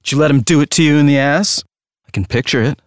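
A man's voice: fairly high pitch, fast, no room sound, studio quality, natural voice. A man playing an obsessive, crude true-crime fan in an audio drama, questioning insistently. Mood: goading. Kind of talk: dramatic audio play dialogue.

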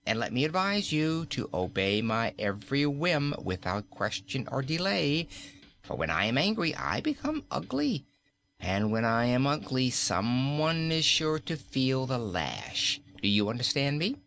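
A man's voice: medium pitch, very animated, unhurried, vocal fry, fairly bright tone, voice pushed up, full character voice. A man voicing a cruel, mocking queen. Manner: teasing, imperious. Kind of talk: audiobook character voice.

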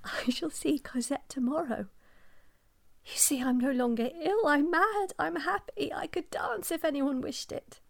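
A woman's voice: high voice, measured pace, gravelly. An actress playing a sick young mother, whispery and breathless. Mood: feverish joy. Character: frail sick young mother, feverish and longing. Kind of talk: radio drama acting.

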